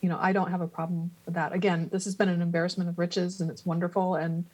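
A woman council member who speaks loudly and frankly at a public meeting. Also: on the low side, flat delivery, fast, rough recording. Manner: loud, frank.

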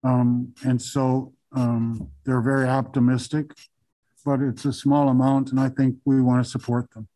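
A man commissioner speaking calmly and supportively in a city meeting. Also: medium pitch, unhurried, rough recording.

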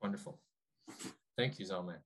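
A man moderating a panel discussion over a video call, friendly and halting, with many fillers. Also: sits low. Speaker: host and moderator.

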